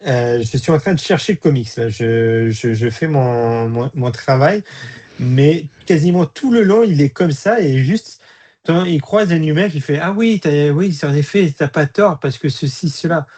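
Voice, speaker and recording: A man's voice: fairly high pitch, moderate pace, darkish tone. A man with a European French accent giving blunt opinions as a guest in a casual review chat.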